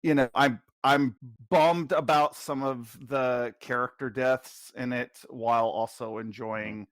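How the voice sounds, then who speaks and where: Relaxed man musing, dry sound. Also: mid-range voice, takes it slowly, no echo. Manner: relaxed, hesitant restarts, frank. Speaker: podcast panelist. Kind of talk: casual film discussion.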